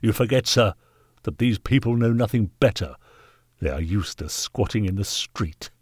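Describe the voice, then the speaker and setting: A man's voice: lowish voice, expressive, moderate pace, husky, no echo, studio quality. A man voicing a haughty, bullying Victorian knight in a storybook reading, pompous and scornful. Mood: contemptuous, angry. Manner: pompous, forceful, contemptuous. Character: haughty, callous, bullying Victorian gentleman knight, angry benefactor. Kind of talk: dramatised audiobook character reading.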